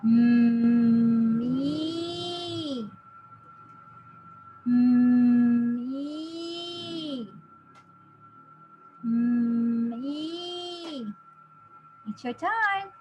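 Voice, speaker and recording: A woman in her thirties teaching a children's phonics lesson, encouraging, with exaggerated, deliberate enunciation for young learners. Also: sits high, music in the background. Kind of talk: children's phonics lesson, sounding out letters and words.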